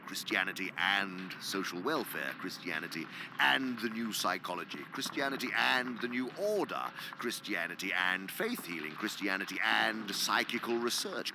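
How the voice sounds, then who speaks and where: An actor playing an imperious senior demon in a radio play, lecturing pompously and theatrically with precise diction and hushed, emphatic drops. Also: male voice, lowish voice, vocal fry, noisy background, full character voice. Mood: scheming, emphatic. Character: senior male demon, imperious scholarly uncle, exacting mentor. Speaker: senior demon lecturing his subordinate nephew.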